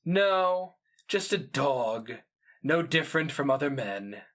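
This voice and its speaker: A male narrator puts on a vain fantasy schemer for a storybook drama. He is measured and placating, with deference that is only feigned. The words come slowly, with a forceful, bright edge. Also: medium pitch, animated, voice pushed up. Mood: feigned humility.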